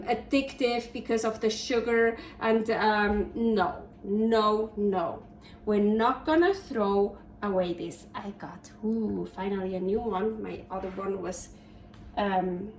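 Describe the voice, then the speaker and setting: A woman's voice: on the high side, echoey room, far from the mic, a little hiss or hum, rough recording. A woman from Switzerland with a Swiss German accent, chatting her way through a home cooking video and often correcting herself. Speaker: cooking channel host. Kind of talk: casual cooking vlog. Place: home kitchen.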